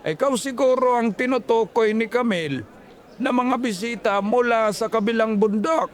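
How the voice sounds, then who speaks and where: A man voicing a sinister old village captain in a horror audio story, speaking slowly and formally. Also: very high voice. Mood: ominous welcome.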